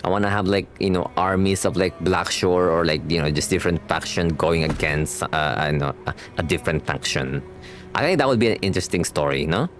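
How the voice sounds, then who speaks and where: A man's voice: low voice, a little fast, faint music behind. A young man giving casual, slangy reaction commentary as a game streamer, rambling and rephrasing as he goes.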